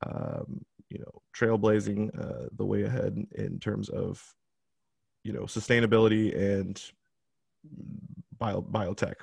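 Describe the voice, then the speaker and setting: A male podcast host with a low, gravelly voice, recorded dry and close. He speaks casually and rambles through his questions, filling gaps with "you know". The sound is direct, with no room around it. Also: no room sound.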